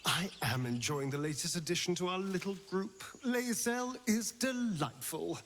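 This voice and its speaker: A man's voice: high voice, animated, normal speed, a bit bright, a little hiss or hum. A male actor voicing a flirtatious, sardonic, menacing rogue in a video game, with a theatrical, arch drawl. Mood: arch, amused. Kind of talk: video game character acting.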